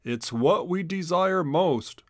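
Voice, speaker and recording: A man's voice: high voice, animated, slow pace, no echo, clean studio sound, voice pushed up. A man voicing a kindly animal schoolteacher in a children's storybook, explaining expressively. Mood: wistful.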